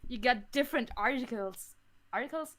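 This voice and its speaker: A woman who grew up speaking German talks as a podcast guest. Her voice sits a little high, and her delivery is casual and conversational. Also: normal speed, a bit bright. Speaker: podcast guest, audio drama creator. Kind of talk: casual chat.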